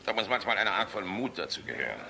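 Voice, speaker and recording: An actor in a German radio play voicing a jovial, irreverent, sharp-tongued middle-aged air force general. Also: male voice, on the low side, sing-song, fast, creaky, exaggerated voice. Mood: sardonic. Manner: jovial, sardonic, blunt.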